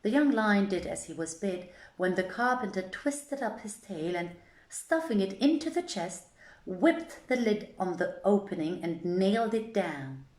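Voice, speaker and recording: A woman's voice: mid-range voice, sing-song, a little slow, darkish tone. A woman reading an old tale as a storyteller, in theatrical, formal, archaic phrasing. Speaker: storyteller, reader. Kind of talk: scripted literary narration of an old tale. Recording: echoey, roomy sound.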